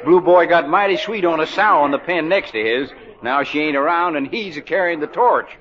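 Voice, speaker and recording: A man's voice: a little high, brisk, background noise, close to an everyday voice. An actor playing a folksy middle-aged American farmer father with a homespun drawl, in a 1950s radio musical. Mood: wry. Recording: dull vintage 1950s radio recording, music behind.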